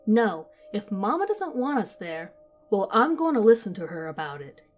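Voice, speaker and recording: A woman's voice: mid-range voice, big pitch swings, moderate pace, soft background music. A woman voicing a firm, blunt young woman who is loyal to her mother.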